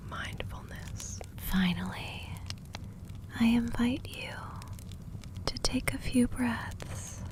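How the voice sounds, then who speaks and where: A woman playing a serene, gentle magical law consultant in an ASMR fantasy roleplay, speaking softly in careful, measured, soothing phrases. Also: on the high side.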